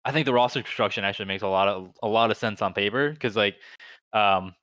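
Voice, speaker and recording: A man's voice: on the low side, fast, fairly bright tone, no echo. A man co-hosting a podcast, chatting casually and analyzing the game with lots of "like" and "you know". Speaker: podcast co-host.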